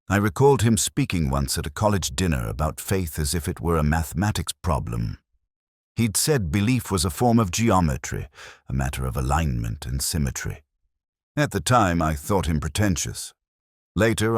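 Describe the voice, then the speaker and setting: A man voicing an ageing, melancholy detective, narrating a literary radio drama in a measured, reflective, solemn manner. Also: extremely low pitch, dry recording, clean studio sound, exaggerated voice. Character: ageing, melancholy male detective, wry and brooding.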